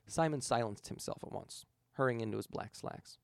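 A man's voice: mid-range voice, moderate pace, gravelly, no room sound, studio quality. A man reading a scripted story aloud as an audiobook narrator. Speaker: fan fiction audiobook reader.

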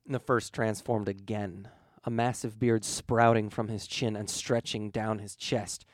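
A man's voice: fairly low pitch, level tone, measured pace, no echo, clean studio sound. A man reading a scripted story aloud as an audiobook narrator. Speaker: fan fiction audiobook reader.

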